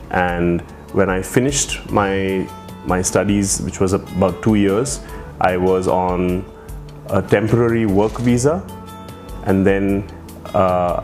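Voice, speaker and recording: A man's voice: sits low, darkish tone. A man from India with an Indian accent, talking casually about himself in an interview. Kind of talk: casual personal interview account.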